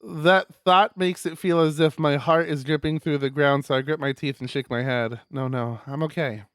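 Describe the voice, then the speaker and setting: A man streaming a visual novel, reading it aloud as expressive narration with casual asides. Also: a little high, moderate pace, smooth, dry recording, clean studio sound.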